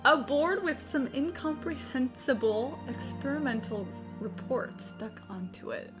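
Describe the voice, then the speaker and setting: A woman's voice: sits high, expressive, a little slow, over music. A woman streamer chatting casually while gaming, rambling and candid, slangy and playfully sarcastic.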